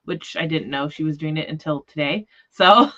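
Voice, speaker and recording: Low-voiced woman, informal and rambling, dullish audio. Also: normal speed, a bit bright, muffled recording. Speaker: host of a book discussion livestream. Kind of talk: casual chat. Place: remote livestream call.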